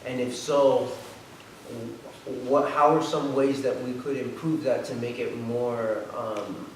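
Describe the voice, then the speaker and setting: A man's voice: medium pitch, fairly even intonation, slow. A man from a theater project putting questions to an audience, hesitant and searching for words. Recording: echoey hall, distant mic, noisy.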